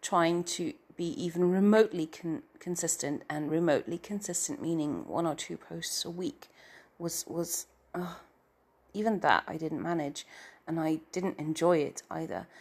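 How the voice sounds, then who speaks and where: A woman's voice: deep voice, moderate pace, darkish tone, breathy edge. A middle-aged woman with a nasal, stuffy-nosed voice gives a rambling, self-deprecating personal update as a writer and story narrator. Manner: meandering and self-deprecating, trailing off into filler.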